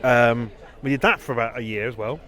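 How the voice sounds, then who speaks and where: A man's voice: medium pitch, brisk, heavy background noise. A British man chatting casually as a podcast host, in a rambling, stop-start way. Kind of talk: casual chat.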